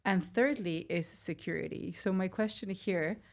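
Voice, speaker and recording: A woman's voice: lowish voice, normal speed, gravelly. A woman with a Mexican accent interviews a guest in a casual, conversational style. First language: Spanish. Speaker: podcast interviewer.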